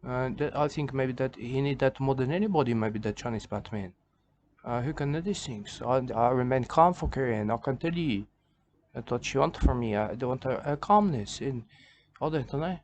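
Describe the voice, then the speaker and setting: A man's voice: mid-range voice, moderate pace, a little mellow, slight background noise. Man rambling in broken, repetitive phrases. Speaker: commentator reacting to a video. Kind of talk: rambling comedic commentary.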